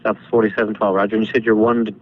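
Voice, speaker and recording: Fast-talking American air traffic controller, male. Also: lowish voice, level tone. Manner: brisk, businesslike, courteous. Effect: air traffic radio transmission. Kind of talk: clipped radio procedure talk. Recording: dull lo-fi radio with static noise.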